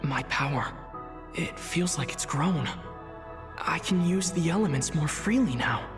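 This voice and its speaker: A woman voicing an earnest, loyal young traveler in a game cutscene, calm and understated. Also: low voice, measured pace, music in the background. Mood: quiet wonder.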